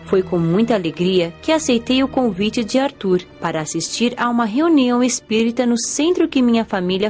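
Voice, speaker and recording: An actress voices an earnest young female spirit with a Brazilian accent, curious and expressive as she tells her story. Her pitch moves in lively waves over clear background music, in the manner of a dramatized audiobook.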